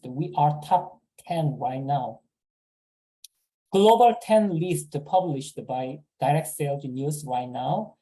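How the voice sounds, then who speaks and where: A man giving a sales seminar talk, halting and repeating words with many fillers. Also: fairly high pitch, mellow, rough recording. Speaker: business presenter.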